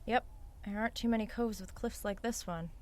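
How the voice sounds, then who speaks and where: An actress plays a young woman on the run in an audio drama. She is practical and sardonic, speaking in a natural, dry way at a medium pitch. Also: fairly quick, darkish tone, natural voice. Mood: practical, focused. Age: young adult. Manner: dry, sarcastic.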